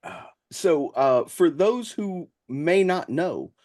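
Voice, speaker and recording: A man hosting a podcast casually, introducing and chatting in a somewhat halting way. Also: fairly high pitch, big pitch swings, a little mellow. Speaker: podcast host. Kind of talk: casual show introduction and chat.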